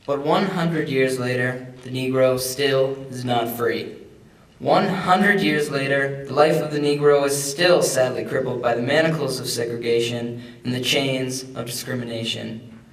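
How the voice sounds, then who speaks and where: In a reverberant room, a young man with a medium-pitched voice reads a speech from some distance. He leans slowly and firmly on repeated phrases. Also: steady tone. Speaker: student reader. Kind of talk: dramatic reading of a historic speech. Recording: echoey hall, distant microphone.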